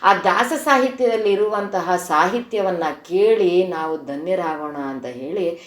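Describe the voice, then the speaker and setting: A woman's voice: lowish voice, a little slow. A woman from gives an informal spiritual discourse, explaining verses in an earnest, conversational way. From Karnataka, India. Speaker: devotional speaker. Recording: echoey room recording.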